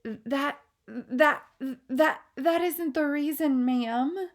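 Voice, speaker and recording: A woman's voice: high voice, lively intonation, normal speed, a little mellow, pitched above the performer's own voice. A woman reading a storybook aloud, voicing a nervous maid who stammers and defers. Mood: nervous, deferential.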